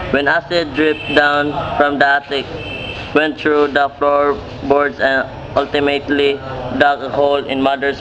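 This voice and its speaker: A man playing formal, middle-class patriarchs and academics in an amateur radio play, with measured wording. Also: mid-range voice, lightly acted. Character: stern, formal father and kindly chemistry professor. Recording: muffled, clearly noisy amateur recording.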